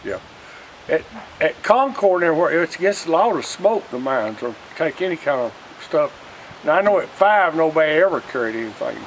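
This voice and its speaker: A man's voice: fairly high pitch, sing-song, moderate pace. An older man with a Southern US accent casually reminiscing in a folksy, plainspoken way. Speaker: interviewee, retired coal miner. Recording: noisy outdoor recording with wind.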